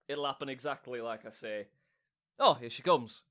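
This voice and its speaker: A man's voice: medium pitch, bright, forceful tone, natural voice. A man playing a melodramatic amateur actor who hams up a tragic lover in an overwrought audio drama style. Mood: explaining. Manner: overwrought, drawn-out. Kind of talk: theatrical audio drama acting.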